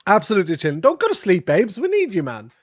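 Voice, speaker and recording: A man streaming live with a cold, chatting casually in a raspy, sniffly voice and thanking his viewers. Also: high-pitched, huge pitch swings, fast talker.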